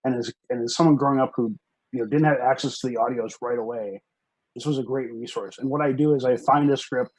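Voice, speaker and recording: A man with a husky voice talking casually as a director on a video call panel. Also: mid-range voice, fairly even intonation, fast talker, a little mellow, poor audio. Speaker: director and script adapter. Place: remote group video call.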